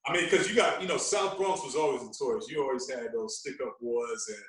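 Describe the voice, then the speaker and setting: A man telling stories in an animated, casual way, using street slang and repeating himself for emphasis. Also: medium pitch, brisk. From Queens, New York. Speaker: podcast guest, radio personality. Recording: very echoey room, distant from mic.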